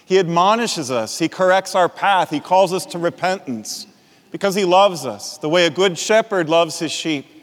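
A man's voice: high-pitched, moderate pace. A middle-aged male pastor preaching a sermon, telling a personal story with frequent chuckles. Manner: animated storyteller, chuckles often. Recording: reverberant hall.

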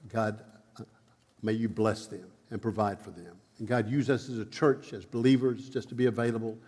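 A man's voice: lowish voice, darkish tone. A man who stutters, giving church announcements and leading a prayer with many repeats and fillers. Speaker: preacher. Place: church sanctuary. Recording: long hall reverb.

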